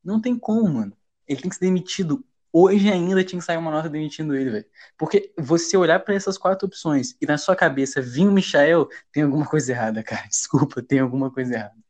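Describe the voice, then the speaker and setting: A man from Brazil hosting a casual football podcast, talking in heavy slang with frequent swearing and rising to loud, agitated rants. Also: on the high side, quick pace. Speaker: podcast host. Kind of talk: heated casual football chat.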